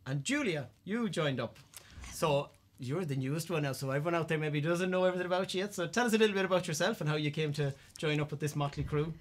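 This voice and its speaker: Male talk show host, Irish accent, very quick pace, higher-than-average pitch, chatty interviewing.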